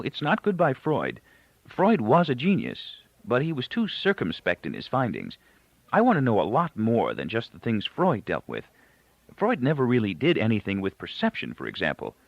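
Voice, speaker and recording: An earnest, intellectual young man as acted by a male audiobook reader explains himself thoughtfully. His pitch moves up and down a great deal over a creaky base, in a dry, slightly muffled recording. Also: no echo, exaggerated voice. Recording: dull, lo-fi, close dry recording.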